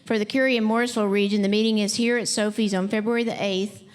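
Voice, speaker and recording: A woman's voice: mid-range voice, fairly even intonation, quick pace, clean tone. A woman hosting an event makes informal announcements in a conversational, unscripted way. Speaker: event host.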